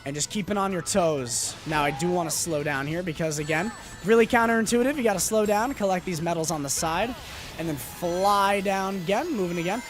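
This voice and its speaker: A man's voice: high voice, brisk. A man doing live gameplay commentary while he is losing his voice, talking upbeat and rambling with lots of fillers and restarts. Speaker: game streamer. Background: loud game music.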